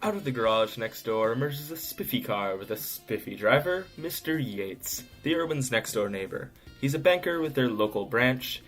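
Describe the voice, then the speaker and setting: A man's voice: mid-range voice, fairly bright tone. A man narrating an audio drama from a script, in a wry, knowing storytelling manner. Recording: music behind the voice.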